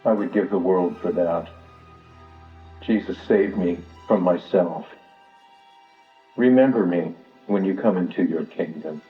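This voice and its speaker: A man playing a bitter, penitent crucified thief in a church Passion drama, speaking in solemn, deliberate monologue. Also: low voice. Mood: awed, pleading. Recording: echoey, dull sound over music.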